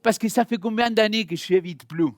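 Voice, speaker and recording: A man's voice: high voice, brisk, no room sound, studio quality. A man from Argentina with a light Argentine accent, preaching a sermon and talking directly to his congregation in a friendly, conversational way. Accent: Argentine Spanish. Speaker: pastor. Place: church hall.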